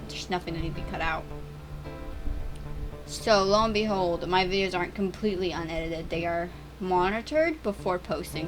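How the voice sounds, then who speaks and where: A young woman in her teens, voice sitting low for her age with a slight roughness, keeps stumbling and restarting as she chats. She narrates her gameplay in a casual, unscripted ramble. Clear game music plays under her the whole time. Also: rough recording. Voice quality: voice getting deeper and rougher over time. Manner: chatty, stammering, restarts words. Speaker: gaming YouTuber.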